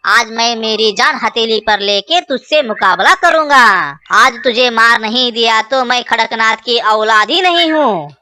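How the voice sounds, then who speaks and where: A woman's voice: on the high side, expressive, measured pace, few pauses, bright, forceful tone. A woman voicing a boastful, melodramatic young male crow in an emphatic children's cartoon style. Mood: defiant, vengeful. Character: male crow, Kalu, boastful, dramatic young son and husband. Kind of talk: children's cartoon story acting.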